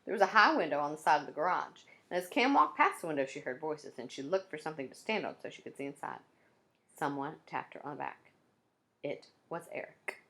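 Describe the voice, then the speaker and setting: Woman teacher, low voice, lively intonation, children's read-aloud. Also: fairly quick, vocal fry. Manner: adds friendly asides to the listeners.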